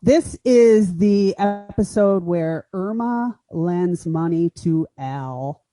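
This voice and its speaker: A woman's voice: lowish voice, lively intonation, slow, dark tone, dry recording, soft background music. A woman chatting casually as a cast member on a radio theatre podcast. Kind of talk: casual group chat.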